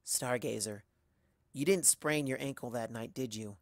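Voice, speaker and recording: A man's voice: mid-range voice, measured pace, no echo, studio quality, close to an everyday voice. A man voicing a troubled but resolute female pony heroine in a dramatic story reading. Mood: wounded, doubtful. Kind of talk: dramatic fan fiction reading.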